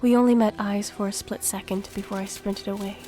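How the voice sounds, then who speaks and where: Woman as a young fugitive narrator, evenly pitched, mellow. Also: steady tone, a little fast, lightly acted. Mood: measured, earnest. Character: young gifted female fugitive, wistful and plain-spoken. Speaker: narrator and lead. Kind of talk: scripted first-person audio drama narration.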